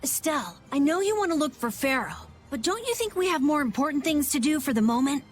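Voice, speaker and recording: A woman's voice: high voice, sing-song, fairly quick, faint music behind. A woman voicing an earnest, anxious young boy adventurer in a video game dub. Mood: concerned.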